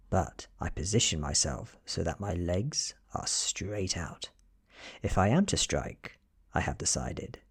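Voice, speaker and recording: A soft-spoken British man reads fiction as ASMR. His low voice is gravelly and breathy, often slipping toward a whisper, and it rises and falls widely as he lingers over phrases. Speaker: ASMR reader.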